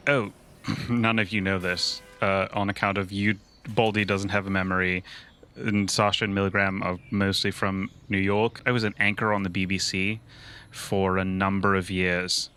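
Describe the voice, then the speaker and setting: A man's voice: low voice, flat delivery, normal speed, pushed and bright, clear, resonant tone. A man playing a tabletop role-playing game, improvising narration and dialogue in a casual, wry and sweary way.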